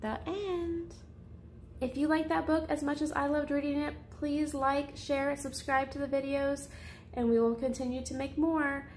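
A woman with a fairly high voice hosts a story time for children. She reads in picture-book narration style and addresses her young listeners directly. Faint background noise sits behind her voice. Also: level tone, unhurried, darkish tone.

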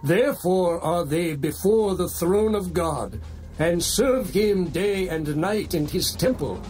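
A man voicing a solemn heavenly elder in a dramatized scripture reading, speaking slowly and with measure. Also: high voice, animated, a little mellow. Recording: music playing underneath.

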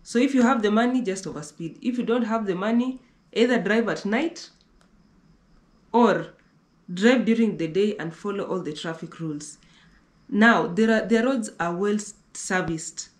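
A woman's voice: medium pitch, normal speed, roomy sound. A young woman from Kenya chatting casually about a road trip on a living-room sofa, repeating herself and restarting sentences often. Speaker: travel vlogger. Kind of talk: casual conversational travel talk.

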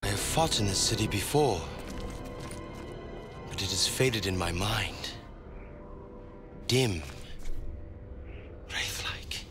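Slow, brooding low-voiced warrior. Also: male voice, expressive, over music. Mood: haunted. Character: brooding haunted male warrior of Gondor. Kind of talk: dramatic fantasy game acting.

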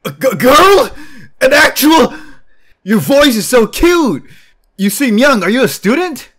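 A man's voice: extremely high pitch, a little slow, pitched above the performer's own voice, exaggerated voice. A man voicing an eager, fawning male online game guildmate in a manga dub. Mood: excited fawning. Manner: excitable, fawning.

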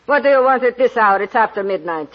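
A suspicious, miserly old woman in a radio play. The voice is very high for a man and scornfully querulous. The old recording sounds dull. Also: expressive, fast talker, slight background noise. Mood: suspicious, grumbling. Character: crabby, suspicious, miserly old female pawnbroker. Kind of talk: old-time radio drama acting.

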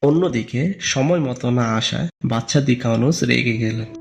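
A man's voice: medium pitch, normal speed, vocal fry. A man narrating a scripted religious story as a storyteller.

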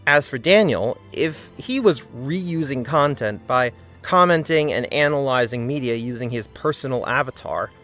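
A man reads his scripted video essay in an earnest, critical tone. His voice sits at a middling pitch. Soft music plays faintly underneath.

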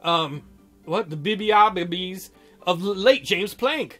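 High, bright-voiced male let's-player. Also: expressive, slow pace. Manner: jokey, theatrical, breaks into silly mock voices. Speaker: let's-play host. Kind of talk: reading a visual novel aloud with joking commentary. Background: game music.

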